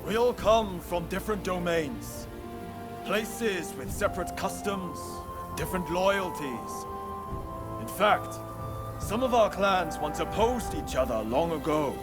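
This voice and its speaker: High, impassioned samurai rebel leader. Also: male voice, lively intonation, loud music behind the voice. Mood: proud, formal. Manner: solemn, formal, impassioned, deliberate. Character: fervent samurai rebel leader rallying his followers. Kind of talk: dramatic video game oratory.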